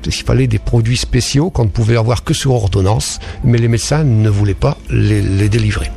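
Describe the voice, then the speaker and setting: Gravelly hesitant French man on radio. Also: on the low side, fairly quick, dark tone, soft background music. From France. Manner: hesitant, many fillers and restarts. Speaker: guest speaker on spiritualism. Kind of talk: radio talk explaining history.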